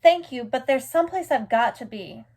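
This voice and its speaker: A woman's voice: high-pitched, expressive, normal speed, a little mellow. A woman reading the part of an eager, polite, determined nine-year-old boy in a children's storybook, earnest in manner. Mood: cheerful, polite.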